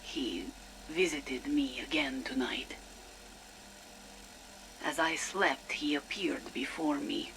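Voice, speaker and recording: An actor playing a haunted, fanatically devoted man recording a hushed, measured personal log for a game. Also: fairly high pitch, slight background noise, poor audio. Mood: reverent, resolute. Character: solemn devoted zealot, fanatically faithful and haunted. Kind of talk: dramatic audio log monologue.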